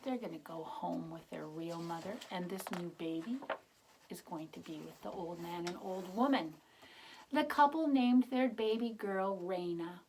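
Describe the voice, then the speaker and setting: A woman's voice: on the low side, measured pace, background noise. Woman reading expressively to young children. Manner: expressive, explains words and pictures, asks gentle questions. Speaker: children's storybook reader. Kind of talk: read-aloud narration with simple asides to young children.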